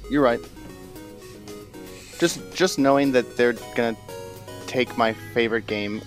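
A man's voice: medium pitch, unhurried, soft background music. A man co-hosting a podcast, talking in a casual, conversational way. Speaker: podcast co-host. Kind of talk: casual chat.

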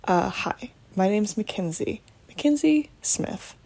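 A woman in her twenties hosting a casual solo podcast from her bedroom late at night, talking low and hushed with lots of ums and restarts. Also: animated, slow. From United States. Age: 20s. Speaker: podcast host.

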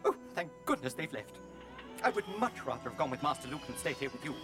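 A man's voice: medium pitch, sing-song, fast talker, close to an everyday voice. A man playing a fussy, anxious and polite protocol droid in a radio drama, speaking in a prim, formal, fretful manner. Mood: flustered. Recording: loud music behind the voice.

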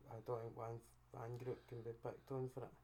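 Brief: Scottish male co-host, monotone delivery, plain matter-of-fact opinions. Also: mid-range voice, brisk, a little hiss or hum. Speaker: podcast co-host. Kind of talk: casual chat.

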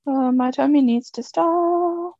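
Woman podcast co-host, gravelly, dry close sound, rambling and chatty. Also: high voice, slow pace, dark tone, dry recording, lo-fi sound. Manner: rambling, chatty, laughs often. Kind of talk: casual chat.

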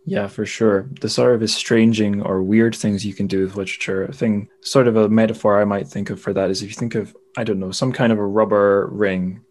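A man with a fairly low voice speaks as a podcast host. His delivery is casual and conversational, in the style of an informal literary chat. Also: fairly even intonation, brisk, few pauses, darkish tone.

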